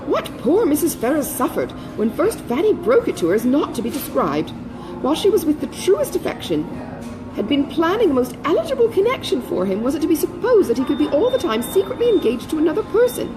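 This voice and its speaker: A pompous married gentleman from a classic novel, acted out by a woman narrator. He speaks in solemn, long-winded, self-righteous periods, with pitch that rises and falls widely in aggrieved emphasis. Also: wildly swooping pitch, fairly quick, over music, poor audio. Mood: aggrieved, indignant. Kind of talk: classic novel dialogue in audiobook reading.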